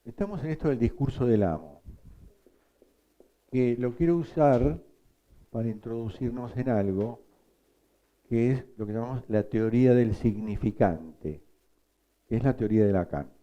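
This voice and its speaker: A man teaching a psychoanalysis class, explaining slowly and quizzing his students. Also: medium pitch, highs cut off. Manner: slow, explanatory, asks the class questions. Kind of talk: lecture.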